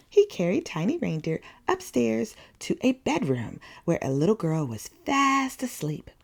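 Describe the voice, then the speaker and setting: A woman narrates a children's picture book as a story time reader. Her pitch moves in lively swings, and she takes her time, leaning dramatically on key words. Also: creaky. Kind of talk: expressive children's picture-book read-aloud.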